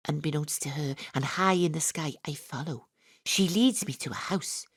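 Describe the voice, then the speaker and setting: A woman's voice: deep voice, normal speed, breathy edge, no echo, studio quality. A woman playing a brash, sweary private detective who narrates her own comic audio drama in a breezy, irreverent way. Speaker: narrator and lead character. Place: home studio.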